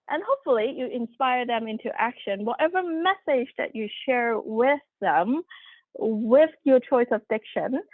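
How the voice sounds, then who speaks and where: A woman whose first language is Cantonese leads an online workshop, chatty and full of fillers and restarts. Her voice sits fairly high. It comes through a narrow, tinny call line with no room echo. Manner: conversational, many fillers and restarts. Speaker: workshop presenter. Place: home room, video call. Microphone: computer mic on video call. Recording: tinny phone-like audio.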